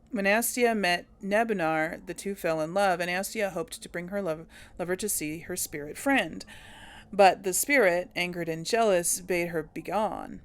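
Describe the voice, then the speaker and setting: A woman's voice: on the low side, creaky. A woman hosting a casual let's play, chatting to viewers as she plays and reading game text aloud. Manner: chatty, talks to viewers while playing. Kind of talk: casual gameplay commentary.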